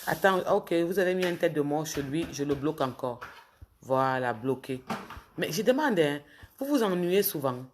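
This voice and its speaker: Hoarse woman scolding live viewers. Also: sits low, lively intonation, moderate pace, slight background noise. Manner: indignant, scolding commenters directly. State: sick with a cold, a bit hoarse. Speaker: social media livestreamer. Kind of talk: casual livestream talk to viewers.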